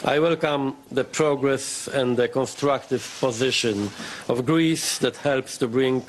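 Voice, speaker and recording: A man delivering a formal press statement in a deliberate way. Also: medium pitch, a little hiss or hum. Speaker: official giving a statement.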